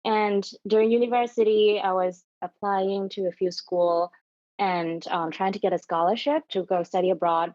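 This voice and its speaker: A young woman from Thailand, a podcast guest, telling her career story in a measured, thoughtful way. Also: on the low side, a little mellow, dry recording, dull audio. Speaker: podcast guest, venture capital investor. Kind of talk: conversational interview.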